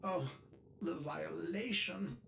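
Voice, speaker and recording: A man's voice: on the high side, takes it slowly, vocal fry, noisy background, full character voice. A man voicing a dutiful, fussy ship computer in a video game, formal and theatrical, with a wounded air. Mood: grateful, then wounded. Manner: formal, speaks of itself in third person, theatrical. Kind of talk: video game character acting.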